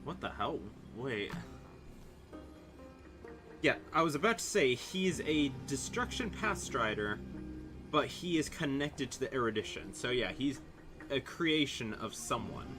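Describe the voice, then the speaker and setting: A man's voice: medium pitch, sing-song, measured pace, pauses often. A man giving casual commentary as he plays through a story game. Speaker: game streamer. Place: indoors, voice over game audio. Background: game music.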